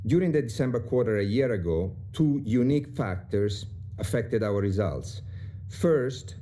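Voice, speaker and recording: Low-voiced man reading financial figures. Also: mellow. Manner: dry, precise reading of figures. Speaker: company finance chief on an earnings call. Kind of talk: scripted financial remarks.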